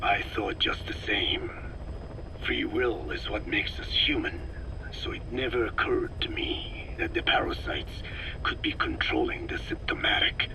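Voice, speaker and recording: An actor playing an elderly, erudite male scientist in a video game, speaking in a breathy rasp with slow, deliberate, grave explanations. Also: on the low side, expressive, noisy background. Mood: somber, explanatory. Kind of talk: video game audio drama acting.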